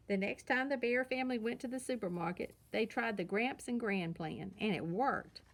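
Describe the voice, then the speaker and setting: A woman reads a children's story aloud as its narrator. Her voice sits a little high for a woman.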